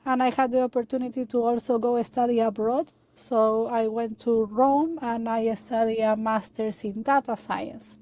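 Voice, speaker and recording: Woman from Chile, halting and soft. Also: a little high, fairly even intonation, rough recording. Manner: halting, many fillers and restarts. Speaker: guest, data analyst. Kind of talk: casual interview talk.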